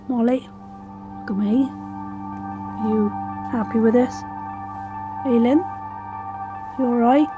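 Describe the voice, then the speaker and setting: An actress playing a solemn woman who leads a spell ritual in an audio drama, giving careful, halting instructions over music. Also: on the high side, darkish tone. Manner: hesitant, instructive, grave. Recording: music behind the voice.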